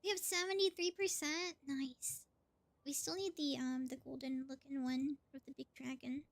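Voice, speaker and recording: High-pitched woman streaming a game. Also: steady tone, moderate pace, no room sound. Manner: playful, exclamatory, thinking aloud. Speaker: livestreamer playing a game. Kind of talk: casual live gameplay commentary.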